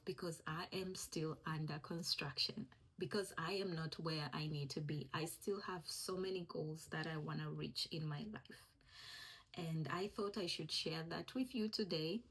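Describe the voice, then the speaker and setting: Breathy encouraging woman, slightly low voice. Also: rough recording. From East Africa. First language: Swahili. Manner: encouraging, conversational, repeats key phrases. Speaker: vlogger. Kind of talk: faith-based motivational vlog talk.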